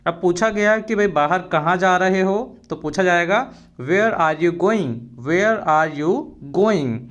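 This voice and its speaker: Young male tutor, fairly high voice, unhurried, drills phrases twice. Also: fairly bright tone. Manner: explains in Hindi, repeats English phrases very slowly. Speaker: English teacher. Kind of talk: spoken English lesson.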